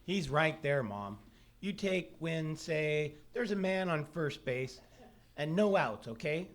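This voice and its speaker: A man's voice: a little high, animated, slow. A man playing an eager, folksy know-it-all son in a family, in 1940s-style radio comedy. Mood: earnest, explaining. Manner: folksy, explaining earnestly. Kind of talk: 1940s radio comedy acting, live on stage. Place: live theatre stage.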